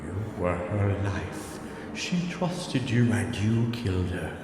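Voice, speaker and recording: A man's voice: on the low side, big pitch swings, takes it slowly. A man playing a sadistic, genteel serial killer spirit in a horror audio drama, taunting and menacing with theatrical relish. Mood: gloating taunting. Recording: echoey cavernous chamber with clear noise.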